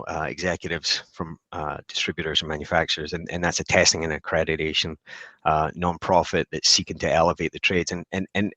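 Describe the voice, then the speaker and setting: A Scottish man in his forties talks as a podcast guest with a deep, low voice. He rambles cheerfully, restarting his sentences often. The sound is dry and close. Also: fairly bright tone, no echo. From Scotland. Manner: upbeat, rambling with many restarts and fillers. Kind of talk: casual interview chat.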